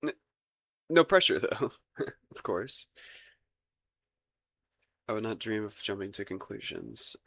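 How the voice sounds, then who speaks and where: A man's voice: lowish voice, steady tone, slow pace, vocal fry, pauses often, a bit bright, exaggerated voice. A man acting a shy, lovestruck young crown prince in a romantic roleplay audio, hesitant and stammering, with formal courtly phrasing. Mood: backpedalling, awkward.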